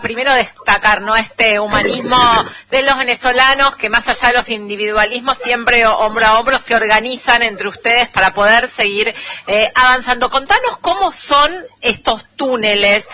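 A woman co-hosting a radio interview, measured with short fillers. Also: fairly high pitch, bright, forceful tone. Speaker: radio co-host.

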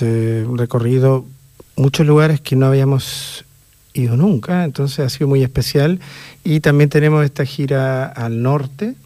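A man's voice: medium pitch, dark tone, dry recording. A man with a Chilean accent, an orchestra conductor speaking as a radio interview guest. He talks thoughtfully and hesitates often with fillers.

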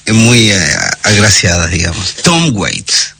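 A man with a Buenos Aires accent presenting music on the radio, hoarse today, explaining things at length with many pauses and fillers. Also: on the low side, sing-song, pushed and bright. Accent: Porteño Argentine Spanish. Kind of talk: casual radio chat.

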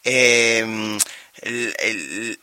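Very bright-voiced Rioplatense interviewer. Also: male voice, lowish voice, extremely slow, clear, resonant tone, no echo. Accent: Rioplatense Spanish. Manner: friendly, interjecting, prompting questions. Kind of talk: casual interview conversation.

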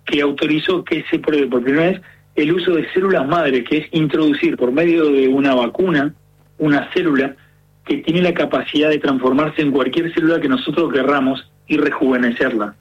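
Husky Argentine gerontologist on radio. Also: male voice, on the high side, normal speed, muffled recording. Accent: Argentine Spanish. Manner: earnest, explaining step by step with many fillers. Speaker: gerontologist guest. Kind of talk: explanatory radio interview.

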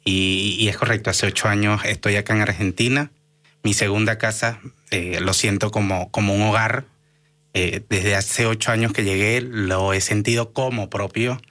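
A Venezuelan man in his thirties answers interview questions in a somewhat low, bright, forceful voice. He speaks earnestly, often pausing on fillers and starting phrases over. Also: steady tone. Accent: Venezuelan Spanish. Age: 30s. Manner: earnest, many fillers and restarts. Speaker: guest, doctor and activist.

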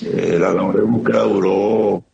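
A middle-aged man with a Venezuelan accent giving an earnest, halting account as an interviewee, often repeating words. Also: deep voice, fairly even intonation, gravelly, mellow. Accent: Venezuelan Spanish. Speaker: interviewee, security operator. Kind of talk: emotional testimony on a remote interview. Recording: muffled lo-fi line with faint noise.

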